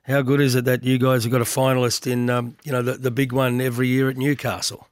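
Husky Australian man hosting radio. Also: medium pitch, little pitch movement, fast talker, no room sound, studio quality. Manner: hesitant, many filler words. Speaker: radio racing show host. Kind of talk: radio interview and news roundup. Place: radio studio.

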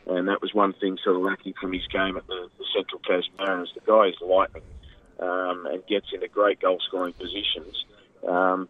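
A man's voice: low-pitched, no echo. Australian man on a tinny phone line. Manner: enthusiastic, rambling with many fillers. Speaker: football pundit. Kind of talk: radio phone-in commentary.

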